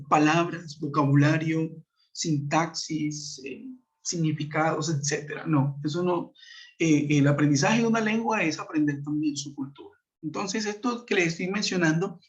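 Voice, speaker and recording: A man with a Colombian Spanish accent explains a lesson patiently over a web conference, with a somewhat high voice. He fills his speech with hesitations and checking questions to his students. The sound is dull and lo-fi, as from a computer mic on a call. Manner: explanatory, many fillers and tag questions. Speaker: English teacher. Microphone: computer mic on a video call. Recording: muffled lo-fi web call audio.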